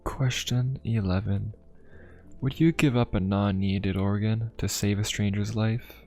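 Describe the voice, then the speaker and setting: A man playing a courteous, inquisitive young pope of a steampunk fantasy world in an ASMR roleplay, speaking politely, formally and in a gently musing way. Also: fairly low pitch, steady tone, unhurried. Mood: musing, friendly. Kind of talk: ASMR roleplay addressed to the listener. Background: music.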